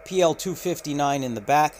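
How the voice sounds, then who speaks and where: A man walking through a radio unboxing, talking unhurriedly with frequent filler pauses, recorded indoors at a table on the camera's mic. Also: on the high side, a little mellow, a little hiss or hum. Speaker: radio reviewer. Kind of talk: unboxing walkthrough.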